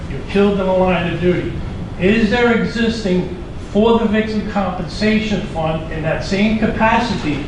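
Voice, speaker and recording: Brief: middle-aged New man, fired up and halting, high voice, far off in an echoey hall. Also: background noise. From United States. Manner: rambling, repeats himself, passionate and halting. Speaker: audience member asking a question. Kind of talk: emotional question from the floor at a symposium. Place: large conference hall.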